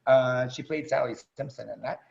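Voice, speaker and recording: A man with a gravelly, mid-pitched voice introduces a performer informally. He is effusive and halting, repeating words as he goes. The video call audio is dull and lo-fi. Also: steady tone. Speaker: theatre director and teacher introducing performers.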